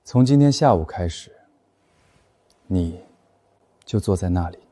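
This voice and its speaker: A man playing a cold, commanding company director in an animated drama, speaking formally with cutting, dry mockery. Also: sits low, a little slow, dry recording. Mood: stern, commanding. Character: cold, commanding, dryly mocking male boss.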